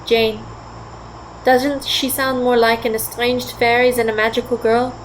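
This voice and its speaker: Inquisitive teenage girl, lightly high voice woman acting. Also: little pitch movement, a little slow, slight background noise, close to an everyday voice, rough recording. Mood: curious, skeptical. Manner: inquisitive, reasoning. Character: thoughtful, skeptical, polite teenage girl. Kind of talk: audio drama acting.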